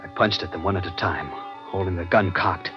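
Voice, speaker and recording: A man's voice: low-pitched, fairly quick, natural voice. An actor playing an uneasy seagoing man who narrates his dread in a brooding, suspenseful old radio drama style. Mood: tense, hushed. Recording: dull vintage radio recording with music behind.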